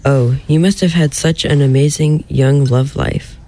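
Male actor as flirty, weary bride, mellow creaky drawn-out voice, radio comedy. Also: on the high side, steady tone, slight background noise. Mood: dry, flirty. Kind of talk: comic radio play acting.

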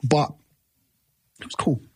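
A man's voice: takes it slowly, dry recording, studio quality. A man in his thirties, a musician guest, talking casually in an interview, loose and rambling with many restarts.

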